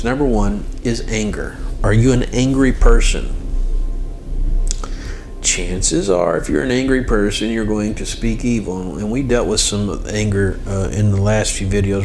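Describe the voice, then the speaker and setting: A man's voice: medium pitch, slight background noise. A man giving an informal devotional lesson as host, speaking directly to the listener and repeating phrases for emphasis. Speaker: host and religious teacher. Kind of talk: informal devotional teaching to camera or mic.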